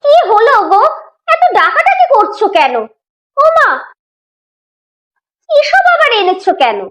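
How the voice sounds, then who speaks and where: Very high-pitched village mother, voiced by an actress. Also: wildly swooping pitch, slow pace, a bit bright, full character voice. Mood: puzzled. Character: fussy but caring village mother and farmer's wife. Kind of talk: Bengali moral cartoon dialogue.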